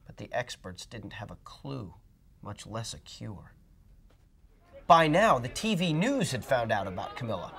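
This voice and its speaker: Animated man reading a storybook aloud. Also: a little high. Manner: animated, dramatic. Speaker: storybook reader. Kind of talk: expressive storybook read-aloud.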